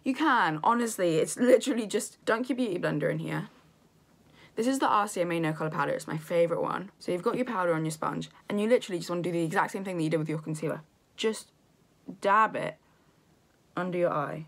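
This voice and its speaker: A woman with a croaky voice giving a chatty makeup tutorial in her bedroom, full of "literally" and "like". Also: lowish voice, quick pace. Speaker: makeup vlogger. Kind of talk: casual beauty tutorial.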